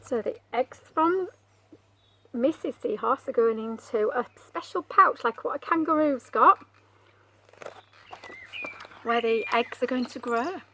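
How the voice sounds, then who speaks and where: A woman reads a picture book aloud to young children in a high, bright voice with big swoops of pitch. She is expressive and coaxing, breaking off for questions and exclamations. There is faint noise behind her. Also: measured pace, pauses often. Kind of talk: animated storybook read-aloud with asides to children.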